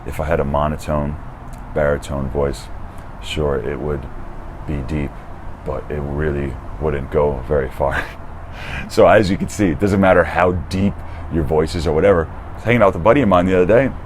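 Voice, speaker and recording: A young man with a deep voice giving casual, energetic dating advice, swearing freely and dropping "dude" and "right?". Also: normal speed, slight background noise. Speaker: dating coach. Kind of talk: casual direct-to-camera advice.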